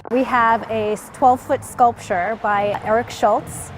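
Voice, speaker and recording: A woman from a local arts council explaining a community art project in an interview, clear and explanatory. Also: a little high, steady tone, slow pace, noisy background.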